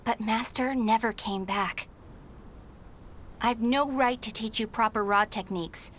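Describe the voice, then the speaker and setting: A woman's voice: a little high, a little slow, fairly bright tone, slight background noise. A woman playing a feisty, stubborn young warrior in a video game story, speaking with a spirited, defiant manner. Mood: reflective narration. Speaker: protagonist and narrator of game story.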